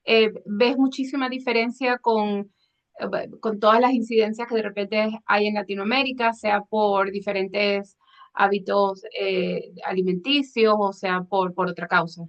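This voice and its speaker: A woman's voice: medium pitch, steady tone, measured pace. A woman hosting a medical podcast interview, asking friendly, hesitant questions with many "eh" fillers. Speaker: podcast host. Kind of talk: hosted interview. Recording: dull lo-fi sound.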